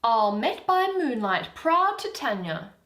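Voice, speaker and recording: A woman's voice: a little high, sing-song, takes it slowly, fairly bright tone, echoey room, voice pushed up, full character voice. A woman performing a proud, jealous fairy king with imperious theatrical declaiming. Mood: haughty.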